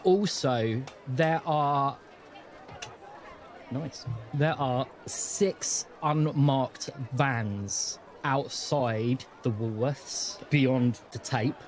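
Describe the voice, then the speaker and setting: A man improvising scene descriptions as game master, in a measured, descriptive way. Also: mid-range voice, some chatter behind, a little hiss or hum, low-quality recording.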